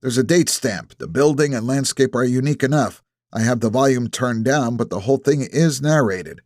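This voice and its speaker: A man's voice: medium pitch, moderate pace, darkish tone, dry recording, clean studio sound, natural voice. A man voicing a brash, defiant detective in an audiobook, with a sarcastic, pushy manner. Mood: pressing. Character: brash, defiant male detective needling a suspect. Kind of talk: acted audiobook dialogue.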